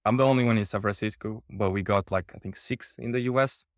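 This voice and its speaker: A man in his thirties from Portugal, a startup cofounder answering interview questions in a relaxed, halting way with lots of fillers. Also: fairly low pitch, no echo, studio quality.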